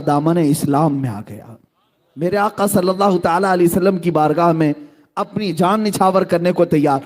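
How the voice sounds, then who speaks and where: A middle-aged man with a notably high voice delivers a sermon as a dramatic story. He drops to soft low passages and then bursts into loud exclamations. He addresses his listeners directly. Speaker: preacher.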